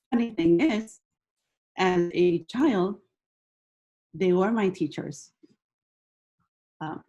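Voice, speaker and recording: A woman's voice: fairly low pitch, dark tone, poor audio. A woman whose first language is Hawaiian, from Niihau, presenting slides to a webinar audience in a loose, hesitant way with frequent fillers. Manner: hesitant, many ums and restarts. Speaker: presenter, teacher educator. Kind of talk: casual presentation talk.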